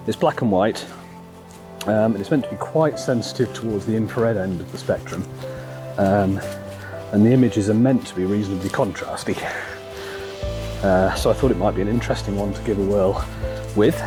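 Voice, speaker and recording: A man with a croaky voice from a cold, talking casually and hesitantly while walking in a forest. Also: fairly low pitch, normal speed, over music. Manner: relaxed, hesitant, many ums. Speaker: photography vlogger. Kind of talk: casual walk-and-talk narration. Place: outdoors in a forest.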